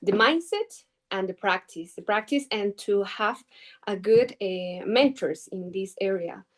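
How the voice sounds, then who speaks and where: A young woman from Ecuador speaks English slowly, with an Ecuadorian Spanish accent. She is a native Spanish speaker and hesitates often, filling pauses with "uh" and "um" as she hunts for the right word. She answers questions as an interview guest. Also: medium pitch, animated. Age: 20s. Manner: halting, searching for English words with many fillers. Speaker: guest trader. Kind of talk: interview answers over video call.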